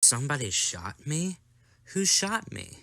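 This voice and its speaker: A man voices a plucky young boy in a motion comic, with lively swings of pitch in a medium male register. He is blunt and childishly matter-of-fact, recorded dry. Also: a little slow, vocal fry, dry recording, exaggerated voice. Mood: surprised.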